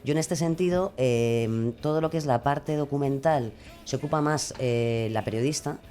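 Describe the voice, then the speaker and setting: Woman w spain accent, pretty low voice, podcast guest, halting, keeps rewording as she goes. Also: warm tone. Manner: halting, thinking aloud, self-correcting. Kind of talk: informal interview answers.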